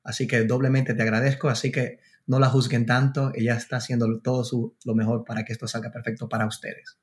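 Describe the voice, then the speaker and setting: A man's voice: mid-range voice, level tone, fast. A man hosting a podcast interview in a conversational way, often repeating and rephrasing as he talks. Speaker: podcast host.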